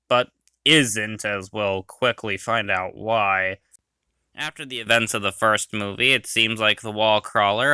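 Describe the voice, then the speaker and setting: Bright, crisp-voiced man reviewing. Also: medium pitch, no room sound. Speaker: video reviewer, host. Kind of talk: informal review commentary voice-over.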